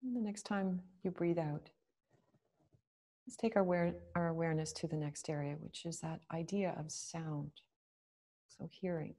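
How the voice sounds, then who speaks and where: A woman leading a guided meditation, speaking slowly and gently with long pauses. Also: low voice, fairly even intonation.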